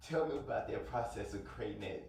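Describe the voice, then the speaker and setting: Guy hosting a chill interview, kinda low voice, sounds far off and super echoey. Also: fairly quick, creaky, a little mellow, a little hiss or hum. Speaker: interviewer host. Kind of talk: casual interview questions.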